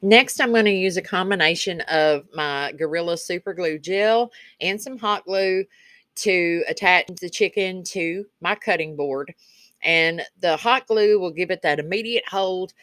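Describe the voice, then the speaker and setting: A woman's voice: fairly low pitch, measured pace, fairly bright tone, dry recording. A woman with a rural Kentucky Southern accent narrating a casual craft tutorial as the channel's host, in a folksy, chatty way. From Kentucky, rural United States.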